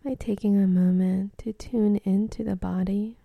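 A woman leading a guided meditation in a soft, breathy, often near-whispered voice, gentle and soothing, with long pauses between phrases. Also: mid-range voice, fairly even intonation, no room sound, highs cut off.

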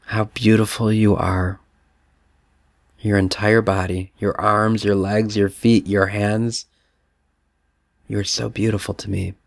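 A man voicing God the Father as a tender, loving male spirit, repeating gentle affirmations. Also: lowish voice, flat delivery, takes it slowly, vocal fry, long pauses, no echo, clean studio sound.

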